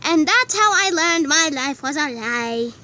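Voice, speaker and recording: Talking butterfly for a cartoon, played by a woman: artificial being, bright high voice, explains grim matters plainly. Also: animated, unhurried, a little hiss or hum, exaggerated voice. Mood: matter-of-fact. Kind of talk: cartoon comic dub.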